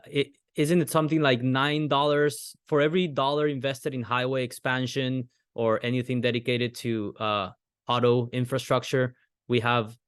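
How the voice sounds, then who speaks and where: Male host, mid pitch, earnest and opinionated, close dry mic. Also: clean tone, no room sound. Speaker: podcast host. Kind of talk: casual podcast conversation.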